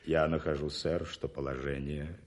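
An actor playing a stiff, easily offended English lord in a radio play, formal and dignified. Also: male voice, rumbling low, level tone, normal speed. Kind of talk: 1980s Soviet radio play acting.

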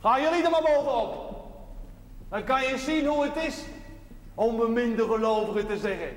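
A high-voiced preaching chaplain, echoing hall. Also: male voice. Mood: earnest, playful. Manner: slow, emphatic, with pauses. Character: earnest, playful, plain-spoken village chaplain preaching to parishioners. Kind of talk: sermon in a television drama. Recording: noisy, echoey church-like hall.

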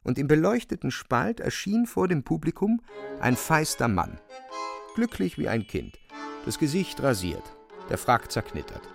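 A man narrating a literary radio drama with measured, dramatic storytelling. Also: on the high side, very animated, vocal fry, soft background music.

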